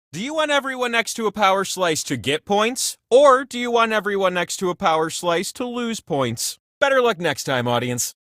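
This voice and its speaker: A man voicing an excitable, grandiose talking prize wheel that hosts a video game show in a playful, theatrical, mock-pompous manner. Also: extremely high pitch, no echo, clean studio sound. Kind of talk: animated video game announcer lines.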